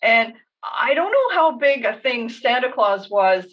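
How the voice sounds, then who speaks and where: A Canadian woman tells stories in a conversational way, her voice sitting somewhat high. She phrases things with measured emphasis. The recording is slightly dull, as if she sits a little back from the microphone. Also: sing-song, far from the mic. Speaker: podcast co-host. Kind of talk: conversational storytelling.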